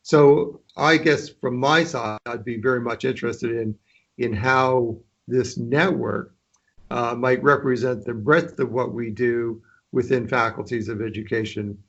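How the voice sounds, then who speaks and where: A man's voice: mid-range voice, steady tone, measured pace, fairly bright tone. A man speaking on a conference call, hesitant and full of restarts, offering to coordinate with other deans. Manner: hesitant, many restarts and fillers. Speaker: dean, association member. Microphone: conference line. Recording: dull lo-fi call audio.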